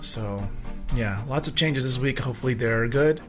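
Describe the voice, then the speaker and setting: A man with a hoarse voice talking casually in a vlog, rambling between thoughts. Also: mid-range voice, normal speed, music in the background. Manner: rambling, with fillers. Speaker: vlogger.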